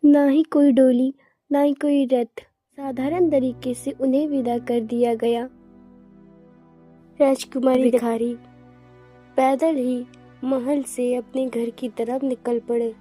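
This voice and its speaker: A woman's voice: high-pitched, little pitch movement, slow pace, pauses often, warm tone, faint music behind. A woman narrating a scripted children's fairy tale.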